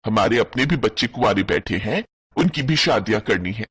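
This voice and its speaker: A man's voice: fairly low pitch, lively intonation, fairly quick, fairly bright tone, slightly rough, pitched below the performer's own voice, exaggerated voice. A man voicing a stingy, bossy older village aunt, snapping dismissively in an audio folk story. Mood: bossy, irritated.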